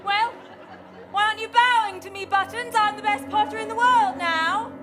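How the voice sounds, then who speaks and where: A woman's voice: very high-pitched, fairly even intonation, normal speed. A woman playing a naive, eager young potter in a comic pantomime, flustered and exclamatory, stumbling over her words. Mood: boastful, flustered. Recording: music and audience noise behind. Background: music and crowd.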